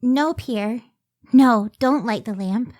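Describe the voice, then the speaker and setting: A woman playing a devoted, idealistic scientist in an old-time radio drama, speaking earnestly and with measured care. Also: on the high side, darkish tone. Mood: tender wonder.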